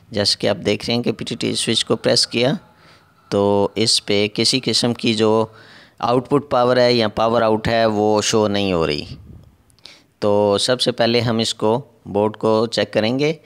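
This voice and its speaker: A man's voice: fairly low pitch, clear, resonant tone. A man narrating a step-by-step repair tutorial as an instructor, in a methodical way with repetitive phrasing.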